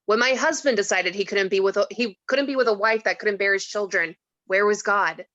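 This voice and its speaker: A woman's voice: medium pitch, brisk, a bit bright, no room sound, lo-fi sound, lightly acted. A woman reading the part of a skeptical, bitter, reluctant mortal in a script table read, blunt and questioning.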